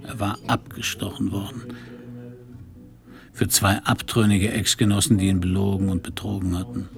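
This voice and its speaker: World-weary detective, terse, fry-laden low voice, crime radio play, music behind. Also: male voice, flat delivery, moderate pace. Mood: laconic. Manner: terse, laconic. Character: world-weary male private detective, hard-boiled narrator. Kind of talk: German radio crime drama, first-person noir narration and dialogue.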